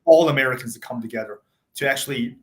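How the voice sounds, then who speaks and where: A man in his thirties who grew up in South Africa after being born in Taiwan, speaking as a startup founder in a relaxed interview. His voice sits at an ordinary middle pitch, and he talks in a loose, conversational way, full of "um"s, "you know"s and restarted phrases. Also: quick pace. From born in Taiwan, raised in South Africa. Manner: conversational, many fillers and restarts. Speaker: tech startup CEO, guest. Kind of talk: casual interview talk.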